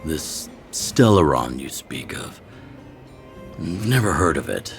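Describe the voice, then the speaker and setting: A man playing a calm, weathered older resistance leader in a video game, with a gravelly voice and a measured, wryly knowing delivery. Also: a bass voice, full character voice. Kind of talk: English game voice acting. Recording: prominent background music.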